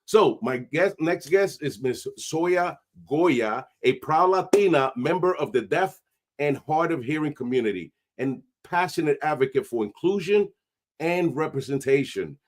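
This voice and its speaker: A man, hosting a podcast with energetic, streetwise talk sprinkled with Spanish. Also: fairly high pitch, a little slow. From New York. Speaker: podcast host. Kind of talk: casual interview chat.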